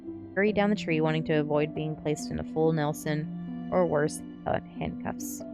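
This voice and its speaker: Female story reader for an ASMR stream, low register, faint music underneath. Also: moderate pace. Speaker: streamer reading a novel aloud.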